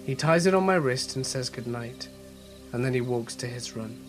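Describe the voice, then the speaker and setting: A man's voice: medium pitch, lively intonation, normal speed. Male horror-story host, mid-range voice touched by a mild cold, narrating over a music bed with crickets. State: slight head cold. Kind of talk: scripted first-person horror story narration and channel sign-off.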